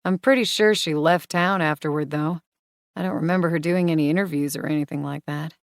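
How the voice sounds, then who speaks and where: A woman's voice: deep voice, fairly even intonation, brisk, no room sound, clean studio sound. A woman voicing a soothing Southern woman. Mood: thoughtful. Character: gentle reassuring woman from the South.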